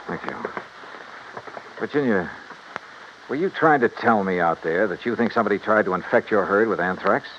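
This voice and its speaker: A man playing a tough, brisk insurance investigator in a 1950s radio detective drama, clipped and probing. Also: fairly low pitch, a little hiss or hum, close to an everyday voice. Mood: probing, businesslike. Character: tough, brisk male insurance investigator narrating his case. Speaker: detective lead and narrator. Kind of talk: 1950s radio detective acting, hardboiled narration. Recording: muffled old radio broadcast with music.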